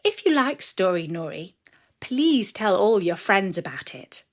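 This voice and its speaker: A woman narrating a children's story from a script with expressive, dramatic delivery. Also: medium pitch, a little slow.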